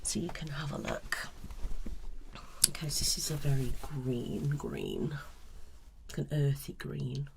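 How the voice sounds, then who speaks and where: A woman speaking softly and breathily in ASMR style, often slipping into a whisper, musing tentatively as she assesses things as an analyst. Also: a bass voice, fairly even intonation, creaky, a little hiss or hum. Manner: tentative, musing, unhurried appraisal. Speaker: ASMR colour analyst with a client. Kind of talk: soft-spoken ASMR, partly whispered.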